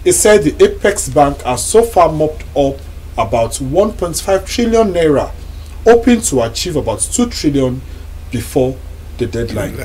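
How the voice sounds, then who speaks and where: A young man with a Nigerian accent hosting a studio talk show, measured as he relays news and asks questions. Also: fairly high pitch, sing-song, warm tone, a little hiss or hum, rough recording. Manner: measured, reports news then poses questions. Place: TV studio lounge set.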